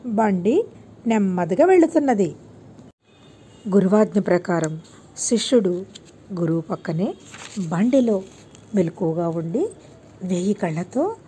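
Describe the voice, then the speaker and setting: A woman's voice: medium pitch, warm tone, a little hiss or hum. A woman narrating a scripted moral tale for children as an audiobook storyteller.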